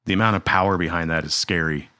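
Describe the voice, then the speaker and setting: A man's voice: low voice, fairly even intonation, fast, bright, forceful tone, no room sound. A man co-hosting a casual podcast, making jokey asides. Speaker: podcast co-host. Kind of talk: casual chat.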